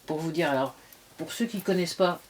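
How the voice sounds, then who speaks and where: A woman's voice: low-pitched, a little fast, slight background noise, poor audio. A woman in her fifties talking casually to camera about her shoes. She rambles, restarts often and fills gaps with "euh" and "voilà". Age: 50s. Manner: rambling, many restarts and fillers. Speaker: vlogger.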